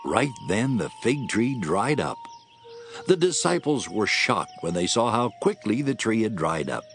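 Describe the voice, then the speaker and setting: Expressive low-voiced male storyteller. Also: measured pace, a little hiss or hum. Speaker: narrator. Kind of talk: scripted Bible storytelling narration.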